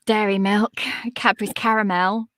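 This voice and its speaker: Energetic woman guest with a bright, high voice. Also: normal speed, dry recording. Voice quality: high-pitched. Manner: energetic, upbeat and encouraging. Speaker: podcast coach guest. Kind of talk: casual interview chat.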